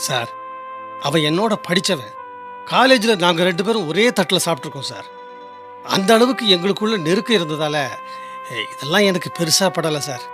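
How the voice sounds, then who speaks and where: Young male radio-drama lead: high-pitched, earnest, loyal working lad, over music. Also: expressive, normal speed, long pauses. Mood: earnest, hurt. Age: young adult. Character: loyal, earnest young working man, later bitterly let down. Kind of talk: Tamil radio drama acting. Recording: music behind the voice.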